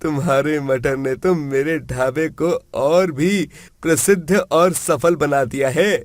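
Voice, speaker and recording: A man's voice: fairly high pitch, slow pace, no echo, clean studio sound. A man voicing a cheerful, appreciative village eatery owner in a storybook tale. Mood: delighted. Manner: hearty, appreciative. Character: cheerful, grateful village dhaba owner customer.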